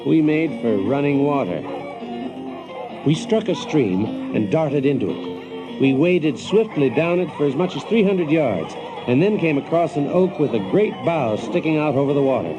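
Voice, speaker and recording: A man's voice: a little high, lively intonation, moderate pace, highs cut off, music in the background, natural voice. An actor playing a cocky, wry American adventurer, telling his story as dramatized first-person narration. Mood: excited, boastful.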